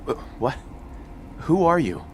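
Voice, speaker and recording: An actor playing a terse, plainspoken survivor hero in a video game. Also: male voice, fairly high pitch, very animated, unhurried, darkish tone, slight background noise. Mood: curious. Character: terse, inquisitive male wasteland survivor hero.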